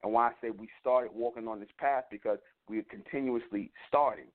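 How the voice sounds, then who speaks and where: A man's voice: mid-range voice, a little fast. A man hosting an internet radio show, delivering a repetitive, emphatic spiritual teaching monologue. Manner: preaching, repetitive emphatic phrasing. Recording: tinny phone line.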